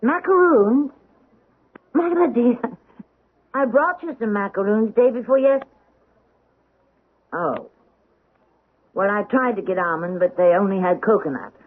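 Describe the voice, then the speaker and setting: Middle-aged actress, high husky voice, anguished and defensive, theatrical radio drama, dull vintage recording. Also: female voice, animated, a little slow, pauses often. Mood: gently solicitous. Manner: theatrical, intense, emphatic. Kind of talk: 1970s radio drama acting.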